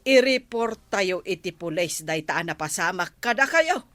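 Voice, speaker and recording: A woman playing a cautious, advising village woman in an Ilocano comedy radio drama, measured in manner. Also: lowish voice, animated, fairly bright tone, dry recording.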